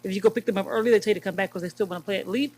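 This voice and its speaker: A woman's voice: mid-range voice, rapid-fire. Brief: woman, enthusiastic community speaker, heard from a distance from the mic. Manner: warm, enthusiastic praise.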